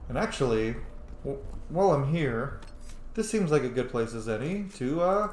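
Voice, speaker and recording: A man's voice: mid-range voice, sing-song, moderate pace, a little mellow. Guy doing sweary casual rp game commentary, echoey room, bit of background noise. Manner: sweary, speaks character's thoughts aloud. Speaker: gaming video host.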